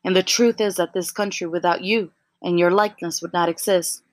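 Soft-spoken woman podcaster, dry close sound. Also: on the low side, fairly even intonation, no echo. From United States. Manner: soft-spoken, frequent ums, measured poetic delivery. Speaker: podcast host. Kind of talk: podcast intro then spoken word poetry.

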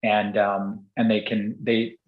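A man's voice: lowish voice, level tone, takes it slowly, echoey room, phone-quality sound. A man who grew up in Singapore, speaking as a guest on a podcast interview, rambling with many restarts and fillers. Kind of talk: casual interview conversation.

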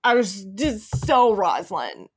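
A woman's voice: medium pitch, very animated, slow, slightly rough. A woman hosting an informal podcast chat about fan fiction, speaking appreciatively and quoting bits as she goes. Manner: informal, appreciative, reads out quotes. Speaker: podcast host.

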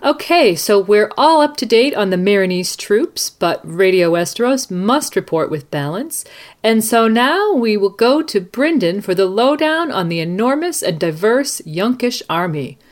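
A woman's voice: medium pitch, expressive, a little slow, close to an everyday voice. A woman playing a composed news anchor at war, putting formal questions to correspondents in a radio play. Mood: businesslike. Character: composed female news anchor covering a fantasy battle. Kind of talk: radio news broadcast roleplay.

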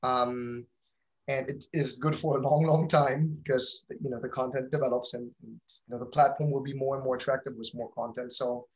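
A man's voice: mid-range voice, steady tone, normal speed, poor audio. A man speaking as an interview guest about his own company in casual talk, often repeating words as he thinks.

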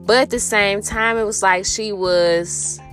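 A woman's voice: mid-range voice, steady tone, measured pace, fairly bright tone, faint music behind. A middle-aged woman telling a personal story in a rambling, reflective way, with many restarts and fillers and a spiritual turn. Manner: rambling, full of "you know" and restarts. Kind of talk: casual spoken storytelling.

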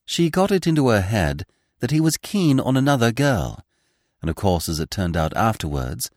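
A man's voice: mid-range voice, big pitch swings, normal speed, darkish tone, dry recording. A male narrator takes the part of a young woman confessing in a farewell letter. The audiobook reading is earnest and remorseful, recorded very dry. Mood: weary, regretful.

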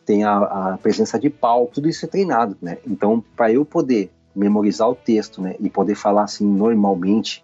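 A man telling his story at a fast clip, his voice low, dark and a bit husky. He talks casually and keeps throwing in "cara". The sound is dull and lo-fi, with faint music underneath. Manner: animated storytelling, peppered with "cara". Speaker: guest. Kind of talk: casual podcast interview.

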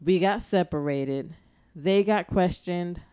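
A woman's voice: on the low side, slow pace, warm tone, clean tone, no room sound. A woman co-hosting a podcast, telling a story in casual chat and acting out the dialogue as she goes. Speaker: podcast co-host.

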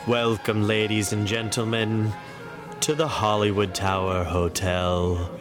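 A solemn bellhop acted by a man greets guests at a haunted hotel in an audio drama. The delivery is formal, very monotone and drawn out, in a low voice. Loud music surrounds it. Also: unhurried, close to an everyday voice. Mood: solemn, creepy.

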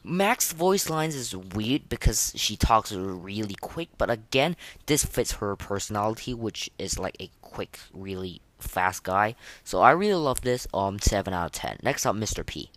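Male podcast host, lowish pitch, excitable, slangy, informal game-review chat. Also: measured pace, hardly any pauses, dry recording.

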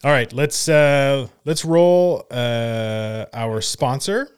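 A man's voice: medium pitch, very slow, clear, resonant tone. A man hosting a casual sports talk show, addressing people in an informal, buddy-like way.

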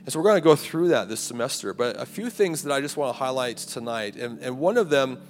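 A man preaching a conversational sermon to a campus ministry, his voice raspy and a little hoarse. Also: mid-range voice, animated, quick pace. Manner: conversational preaching with rhetorical "right?" and "like". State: hoarse, clearing throat. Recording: live hall recording, long reverb, faint music.

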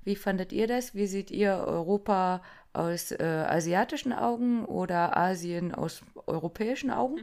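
A woman's voice: mid-range voice, moderate pace, few pauses, a little mellow. A woman co-hosting a podcast, chatty and casual, with frequent fillers and laughter. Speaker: podcast co-host. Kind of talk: casual chat.

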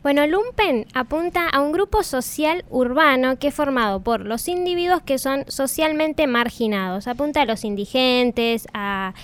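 A woman's voice: high voice, moderate pace, few pauses, clean tone. A woman, giving informative explanations of facts and words as a podcast co-host.

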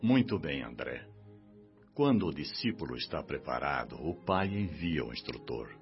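An actor playing a benevolent, paternal minister in a radio drama, speaking in a measured, sententious way. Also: male voice, a bass voice, sing-song, heavily acted. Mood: wise, solemn. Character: benevolent, wise government minister of the spirit world. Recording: dull lo-fi radio drama with music.

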